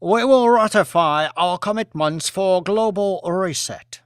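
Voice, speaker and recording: A man's voice: high-pitched, measured pace, smooth, dry recording, clean studio sound, pitched above the performer's own voice. A man voicing a scheming, mocking Chinese premier in an audiobook, with a pompous, emphatic delivery. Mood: imperious, demanding. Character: scheming Chinese premier, thin-smiled, mocking and self-important.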